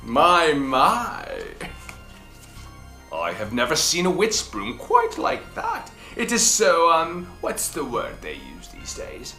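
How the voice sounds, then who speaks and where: A woman hosting a children's book club, reading aloud expressively with theatrical, deliberate emphasis. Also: fairly low pitch, wildly swooping pitch, pushed and bright. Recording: roomy echo, faint music behind.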